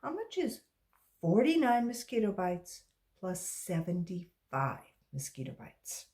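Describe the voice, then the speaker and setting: A firm woman schoolteacher from a children's storybook, as a woman reader acts her. She is insistent and unflappable, spelling things out slowly with lively rises and falls. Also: mid-range voice. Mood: quizzing. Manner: firm, instructive. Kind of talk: children's storybook read-aloud.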